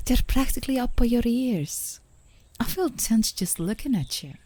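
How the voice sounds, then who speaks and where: A woman playing an affectionate, teasing girlfriend in an intimate ASMR roleplay, speaking softly and soothingly, often in a whisper, close to the microphone. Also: a little high, lively intonation, slow. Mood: playful coaxing. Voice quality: soft, breathy, often whispering. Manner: soothing, playfully coaxing, with small chuckles. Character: gently coaxing, teasing, affectionate girlfriend on a park date.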